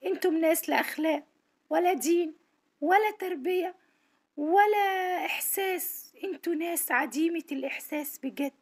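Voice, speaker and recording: A woman with an Egyptian accent talks to camera from her home, her high voice tired and strained. She speaks earnestly, with an indignant edge, as a social worker making an appeal. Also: normal speed. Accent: Egyptian Arabic. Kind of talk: monologue to camera.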